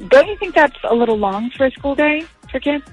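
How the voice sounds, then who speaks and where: A woman's voice: high-pitched, animated, a little fast, faint music behind. A woman in her thirties chatting casually as a parent on a phone call, with a questioning manner. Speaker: parent receiving a prank call.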